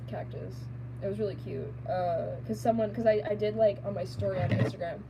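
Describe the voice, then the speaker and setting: A woman with a raspy voice who makes fursuits, chatting casually and rambling as she sews and answers viewer questions. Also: medium pitch, steady tone, brisk, background noise. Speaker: fursuit maker. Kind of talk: casual chat while sewing.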